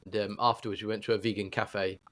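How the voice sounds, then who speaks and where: A man's voice: on the low side, little pitch movement, fast talker, fairly bright tone. A British man chatting casually and telling anecdotes as a podcast host. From United Kingdom.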